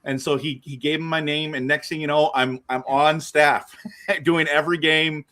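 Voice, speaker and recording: A man with a somewhat high voice hosts a casual interview. He talks in an excitable, rambling way and restarts his sentences often. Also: normal speed. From Canada. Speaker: host.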